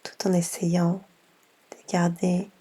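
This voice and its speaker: A woman guiding a yoga nidra session in a soft, half-whispered voice, unhurried, with long pauses between gentle instructions. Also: on the low side, completely flat delivery, breathy edge. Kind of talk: slow guided meditation.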